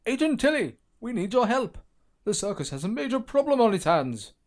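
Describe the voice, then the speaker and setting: A man's voice: very high-pitched, big pitch swings, moderate pace. A man voicing an earnest circus character telling a story in a children's animation. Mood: urgent. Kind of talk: scripted animated story dialogue.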